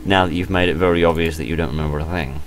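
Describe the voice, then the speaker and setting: A man's voice: extremely low pitch, fairly even intonation, racing. A British man hosting a game playthrough, reading story text aloud theatrically and reacting with incredulous, hesitant asides. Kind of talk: gaming commentary, reading visual novel text aloud with ad-libbed reactions. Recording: music under the voice. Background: background music.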